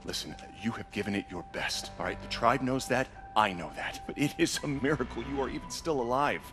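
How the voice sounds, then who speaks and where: Earnest male lawman and big brother for a game, played by a man: protective, reasoning, expressive, over a clear score. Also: mid-range voice, a little fast, lightly acted. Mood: pleading. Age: young adult. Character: protective, exasperated older brother, straight-laced lawman. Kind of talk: cinematic video game acting. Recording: dramatic music behind the voice.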